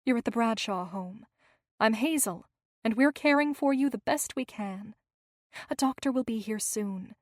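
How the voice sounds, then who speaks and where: Woman voicing a gentle, resolute young woman. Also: fairly high pitch, unhurried, no room sound, clean studio sound, lightly acted. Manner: gentle, reassuring.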